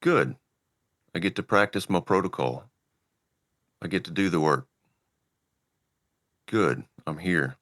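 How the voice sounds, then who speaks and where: A man hosting a podcast, relaxed and easygoing as he steers the conversation. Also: low-pitched, takes it slowly, long pauses, dry recording, clean studio sound. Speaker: podcast host. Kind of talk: casual conversation and show outro.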